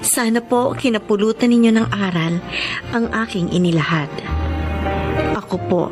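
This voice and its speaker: A woman's voice: mid-range voice, moderate pace, lightly acted. Actress as tearful, grateful young Filipino woman. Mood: reflective, sincere. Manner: emotional, tearful, deferential. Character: humble, grateful young woman wanting out of beer-house work. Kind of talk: Filipino radio drama acting. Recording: music under the voice.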